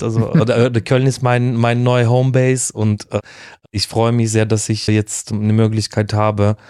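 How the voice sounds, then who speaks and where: A man talks as a guest on a podcast, his voice low and mellow. He is thoughtful and restarts often while he searches for the right words. The recording is dry, with no room sound. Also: no room sound. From Ukraine. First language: Russian. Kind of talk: casual conversation.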